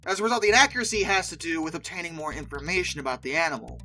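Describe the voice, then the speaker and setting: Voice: medium pitch, racing, bright, forceful tone, faint music behind. A host giving conversational, opinionated video commentary, with emphatic asides. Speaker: host of a video ranking show.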